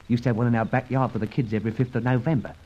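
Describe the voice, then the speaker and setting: An actor playing a down-to-earth, watchful working-class sidekick in an old-time radio serial, colloquial and ungrammatical. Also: male voice, medium pitch, fairly even intonation, quick pace, a little mellow, lightly acted. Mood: puzzled, interested. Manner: colloquial, ungrammatical, plain-spoken. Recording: muffled, noisy old radio recording.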